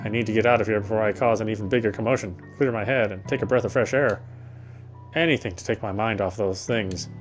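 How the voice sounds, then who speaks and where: A man's voice: fairly low pitch, steady tone, quick pace, faint music behind. A man reading visual novel narration aloud, unpolished, with occasional stumbles and self-corrections.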